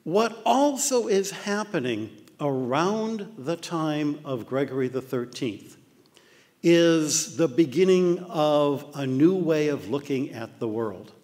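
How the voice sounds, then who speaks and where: A man's voice: fairly high pitch, slow. Older American man lecturing in a reverberant hall. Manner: animated storytelling with mock voices. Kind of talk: illustrated talk. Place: dark lecture hall with projector screen.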